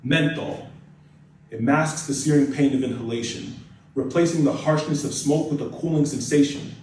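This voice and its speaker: A young American man reciting spoken word poetry with dramatic, deliberate phrasing and emphatic repetition. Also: mid-range voice, a little mellow. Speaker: spoken word poet, nursing student. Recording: echoey room, distant mic, faint noise.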